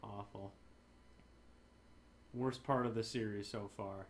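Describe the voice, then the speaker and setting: A man's voice: on the low side, steady tone, takes it slowly. A man in his thirties chatting casually and jokily as a gaming co-host, swearing now and then. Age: 30s. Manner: sweary, jokey. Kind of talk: casual joking banter.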